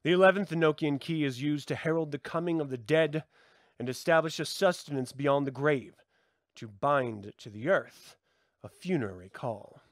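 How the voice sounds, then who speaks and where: A man reading a text aloud like a solemn, dramatic incantation, declaiming theatrically with deliberate weight. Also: fairly high pitch, no room sound, studio quality. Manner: theatrical, declaiming, swelling from subdued to shouted. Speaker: reader of a book aloud.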